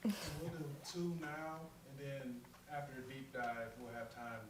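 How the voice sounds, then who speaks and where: A man's voice: medium pitch, flat delivery, measured pace. A man moderating audience questions, speaking hesitantly with many fillers. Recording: distant, echoey room with noisy background.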